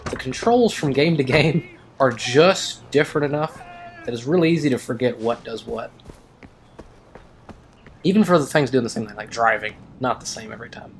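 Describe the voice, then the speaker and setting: A man's voice: mid-range voice, moderate pace. A man giving casual let's play commentary as a gaming video host, rambling and wry.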